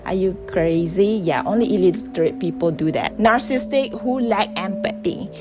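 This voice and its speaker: A woman's voice: medium pitch, moderate pace. Blunt Malaysian woman vlogging over music. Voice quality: blunt, profane, emphatic. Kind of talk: casual direct-to-camera monologue.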